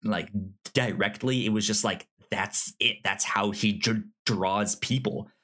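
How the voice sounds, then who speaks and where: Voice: low-pitched, measured pace, sharp tone, husky. A podcast co-host casually discussing film, often stammering and repeating words and sounds.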